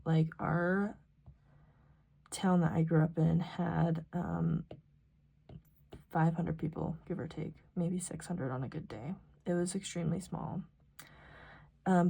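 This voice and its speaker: Low-pitched husky young American woman, gravelly fry. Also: dark tone. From rural South Dakota, US. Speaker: planner vlogger. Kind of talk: casual rambling chat while planning.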